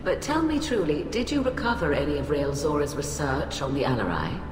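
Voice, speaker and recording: Cold scheming alien admiral in a game, really low voice, swings up and down, echoey. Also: female voice, big pitch swings. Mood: cool, calculating. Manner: measured, formal, calculating. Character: cool, calculating female alien admiral and researcher. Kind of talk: video game dialogue. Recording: echoey hall, music behind.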